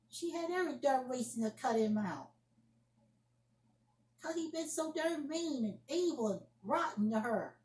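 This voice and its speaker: A woman's voice: high voice, a little mellow. A woman playing an indignant young woman scolding someone over the phone in an amateur solo drama, emphatic and repeating her phrases. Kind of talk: amateur solo acted monologue, one side of a phone argument. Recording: roomy echoing home recording.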